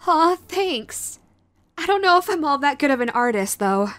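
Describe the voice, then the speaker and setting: A woman playing a sweet, clingy yandere girlfriend in an audio roleplay, nervous and halting, gushing and apologetic as she tries to reform. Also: high voice, very animated, fairly bright tone, dry recording. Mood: proud, affectionate. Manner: halting, gushing, apologetic, swinging between eager and sheepish. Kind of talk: romantic audio roleplay, acted to the listener.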